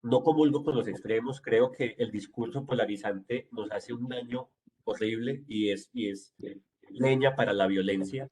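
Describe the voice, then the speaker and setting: A man's voice: mid-range voice, moderate pace, a little mellow, breathy edge, rough recording. A man in his forties with a Medellín Colombian Spanish accent, fluently and confidently explaining himself as a city councilman in an interview. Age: 40s. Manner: fluent, self-assured, explanatory. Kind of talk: interview answers.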